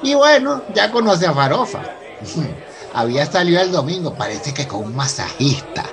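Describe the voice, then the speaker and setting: A man's voice: fairly high pitch, very animated, moderate pace. A man playing a chatty, gossipy character with a Venezuelan accent in a radio soap opera. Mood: gossipy, amused. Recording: busy crowd background.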